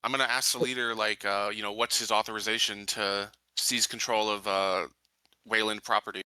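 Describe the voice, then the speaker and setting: A man talking casually as a player in a tabletop roleplaying game, halting and questioning as he goes. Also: lowish voice, flat delivery, sharp tone, smooth, no echo, poor audio.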